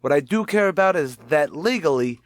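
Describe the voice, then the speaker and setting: A man's voice: fairly high pitch, lively intonation, a little fast, no echo, natural voice. Guy playing pushy accusing husband, radio drama, confronting a rival, dry sound. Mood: accusing, heated. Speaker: actor. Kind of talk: radio mystery drama acting.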